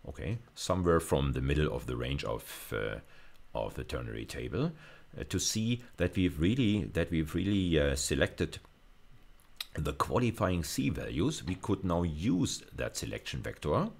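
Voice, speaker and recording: A male university lecturer. His speech is creaky with vocal fry. He explains a live technical demonstration informally, pausing to think aloud as he goes. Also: rumbling low, sing-song.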